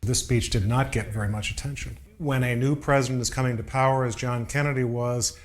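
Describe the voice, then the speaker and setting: A man's voice: mid-range voice, steady tone, a little fast. Man, mid-range voice, explanatory, conversational, documentary interview commentary. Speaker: historical commentator.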